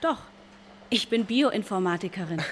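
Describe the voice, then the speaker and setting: An actress playing a curious, chatty bioinformatician in a radio drama. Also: female voice, medium pitch, lively intonation, moderate pace, a little hiss or hum, natural voice. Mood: curious, polite.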